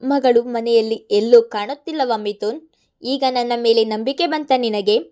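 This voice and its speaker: A woman voicing a gloating, vengeful middle-aged man who taunts loudly over the phone. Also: on the high side, normal speed, natural voice. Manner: taunting, sneering, loud.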